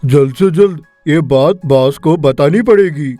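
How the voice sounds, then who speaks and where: A woman voicing a sly, scheming hired thug in a cartoon story, talking low and gruff to himself. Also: measured pace, warm tone, no room sound, faint music behind.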